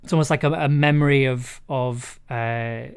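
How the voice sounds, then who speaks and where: A man with a raspy, throaty voice, talking casually and rambling through a livestream while producing an audio drama. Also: mid-range voice, steady tone, moderate pace. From United Kingdom. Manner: rambling, many restarts and fillers. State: raspy, throaty voice after illness. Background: music.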